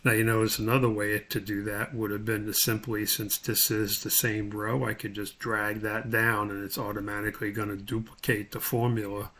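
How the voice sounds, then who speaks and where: Halting male instructor walking through steps. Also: on the low side, level tone, sharp tone. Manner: halting, thinking aloud while working. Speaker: instructor giving a tutorial. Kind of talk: step-by-step spreadsheet tutorial, unscripted.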